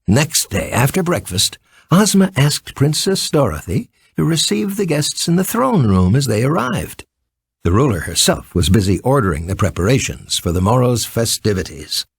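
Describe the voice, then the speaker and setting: A man reading a scripted children's story as an expressive, theatrical narrator. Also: mid-range voice, moderate pace, husky, no room sound, clean studio sound.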